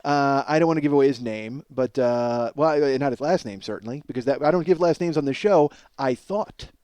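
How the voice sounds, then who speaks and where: A man in his forties with a slightly hoarse voice, hosting a podcast as a casual comedic monologue, rambling, profane and self-deprecating. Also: medium pitch, fairly quick, darkish tone, no echo. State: slightly hoarse from strained singing. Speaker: podcast host.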